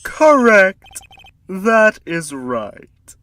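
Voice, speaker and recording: A man voicing a flamboyant, smug CEO villain testifying in a courtroom game fandub, with theatrical, drawn-out delivery. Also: sits high, very animated, a bit bright, recorded from a distance, full character voice. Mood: smug testimony. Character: flamboyant, smug male corporate CEO villain on the witness stand.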